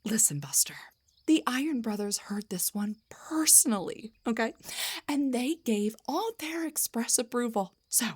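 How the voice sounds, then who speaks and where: A woman voicing an indignant, defensive half-drow bard in a scripted fantasy roleplay audio, with a breathy, theatrical delivery. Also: a little high, lively intonation, unhurried. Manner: theatrical, sarcastic, emphatic, stammers when rattled. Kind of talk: scripted roleplay monologue, one side of a conversation.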